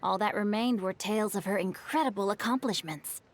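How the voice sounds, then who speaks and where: A woman's voice: mid-range voice, a little fast, slight background noise, lightly acted. A woman voicing a polite, bookish young man in a video game, speaking in formal, thoughtful, storytelling phrases. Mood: measured, curious. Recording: game dialogue over background music. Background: game music.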